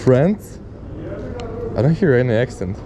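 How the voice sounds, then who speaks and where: A man's voice: medium pitch, big pitch swings, crowd noise. Chatty Russian-accented man outdoors. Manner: friendly, chatty, quick to laugh and compliment. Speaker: street photographer. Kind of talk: casual vlog chat with strangers. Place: outdoors on a city street. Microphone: chest-mounted camera.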